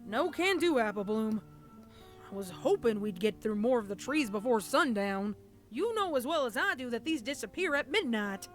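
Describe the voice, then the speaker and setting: A woman's voice: on the high side, sing-song, moderate pace, faint music behind, pitched above the performer's own voice. A woman voicing a stubborn, hardworking young farm pony with a folksy Southern US drawl, in a story reading. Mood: firm, tired.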